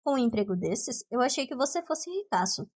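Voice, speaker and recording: A woman's voice: high-pitched, very animated, a little fast, a little mellow. A Brazilian woman reading the dialogue of a shy, nervous young man who banters cheekily, in an audiobook. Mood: cheeky, curious.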